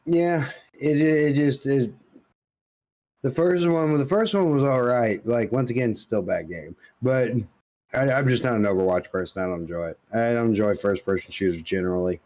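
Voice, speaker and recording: A man's voice: mid-range voice, moderate pace, a little mellow. A man co-hosting a podcast in casual conversation, blunt and opinionated in the way he talks. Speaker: podcast co-host.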